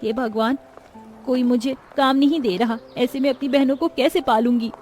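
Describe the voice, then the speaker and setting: A woman acts out a poor, earnest little orphan sister from a moral-story cartoon. The voice is high and pleading, with melodramatic delivery over faint music. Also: normal speed, darkish tone, close to an everyday voice. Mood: distressed.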